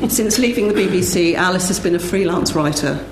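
A woman's voice: lowish voice, animated, a little slow. A woman chairing a panel at a live event, introducing the speakers in a partly scripted way. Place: indoor event room with audience. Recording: echoey room, slight background noise.

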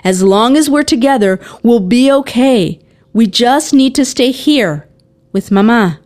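Woman acting as young girl, protective older sister, high voice, insistent, emphatic, audiobook character. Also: expressive, a little slow, a little mellow. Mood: reassuring. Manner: pleading, emphatic.

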